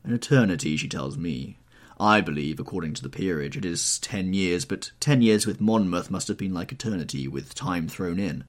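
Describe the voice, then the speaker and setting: A man voicing a cynical aristocratic gentleman in an audiobook, drawling out languid epigrams. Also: low voice, expressive, normal speed. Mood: wry.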